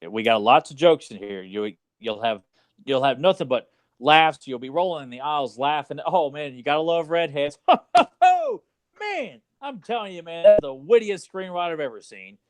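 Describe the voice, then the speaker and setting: A man's voice: on the high side, expressive, moderate pace, no room sound. Sarcastic man, ranting casually. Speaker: podcast co-host. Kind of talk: casual movie podcast discussion.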